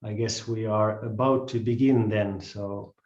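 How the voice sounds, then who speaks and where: A man from Finland with a Finnish accent, introducing a live-streamed class as an instructor, speaking hesitantly with pauses. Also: fairly low pitch, fairly even intonation, darkish tone. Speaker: yoga and calisthenics instructor. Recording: roomy, slightly dull live-stream audio.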